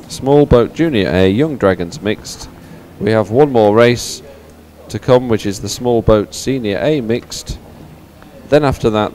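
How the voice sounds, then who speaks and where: Low-voiced male sports anchor, outdoor noise behind. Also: a little mellow. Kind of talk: live sports commentary, announcing results.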